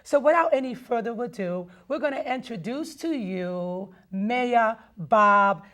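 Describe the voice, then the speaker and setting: A woman's voice: a little high, measured pace, a bit bright. A woman hosting a public-access TV talk show, enthusiastic and a little repetitive as she introduces her guest. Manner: enthusiastic, repeats herself, short affirmations.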